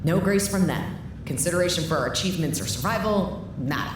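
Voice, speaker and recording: Actress doing a blunt weary woman boss in an audio drama, really low voice, frank and dry, melody swings about, super echoey. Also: lively intonation, fairly quick, close to an everyday voice. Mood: frank, bitter. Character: blunt, weary woman leader of a rebel research staff. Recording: echoey room, clear background noise.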